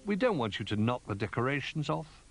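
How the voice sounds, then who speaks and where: A man's voice: medium pitch, big pitch swings, brisk, pitched above the performer's own voice. Man as a fussy, lilting toy duck. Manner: fussy, muttering. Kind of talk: children's storybook character voice.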